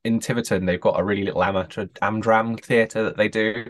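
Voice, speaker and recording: A man's voice: on the low side, fairly even intonation, a little fast. A man, a podcast guest chatting in a jokey, informal way. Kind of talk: casual chat.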